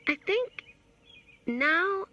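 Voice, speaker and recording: An actress playing an effusive high school girl confiding in her audio diary, with dramatic, stretched words. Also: big pitch swings, extremely slow, pushed and bright, muffled recording, exaggerated voice. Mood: fond, touched. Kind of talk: naturalistic audio drama acting.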